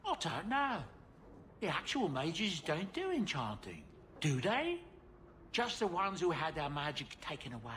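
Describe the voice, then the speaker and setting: A man's voice: on the high side, wildly swooping pitch, a little slow, fairly bright tone, a little hiss or hum, heavily acted. An actor playing a genial, fussy middle-aged male dwarf merchant in a video game, chatty and affable. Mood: puzzled.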